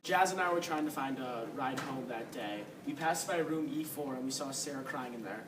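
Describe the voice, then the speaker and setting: A man's voice: medium pitch, normal speed, close to an everyday voice. Man playing a laid-back student witness, echoey recording. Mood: casual. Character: laid-back male student witness recounting his alibi. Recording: echoey, noisy room, distant mic.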